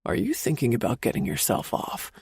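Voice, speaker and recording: A woman voicing a low, gravelly teasing husband. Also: wildly swooping pitch, brisk, darkish tone, no room sound, heavily acted. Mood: teasing, commanding. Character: confident playful adult man, husband. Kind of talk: audiobook character voice.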